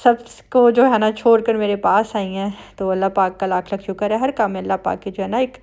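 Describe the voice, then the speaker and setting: A woman's voice: mid-range voice, fairly even intonation, brisk, vocal fry, dark tone. A woman with a Pakistani Punjabi accent talking in a chatty, conversational way, as in a casual family vlog voice-over. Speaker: family vlogger.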